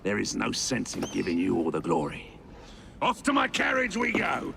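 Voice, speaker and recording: An actor playing a flamboyant, menacing Victorian gang boss in a video game, a gravelly voice and a grandiose, drawling delivery. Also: male voice, lowish voice, huge pitch swings, normal speed, noisy background, full character voice. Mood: gleeful, flamboyant. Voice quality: gravelly, creaky. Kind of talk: video game cutscene acting.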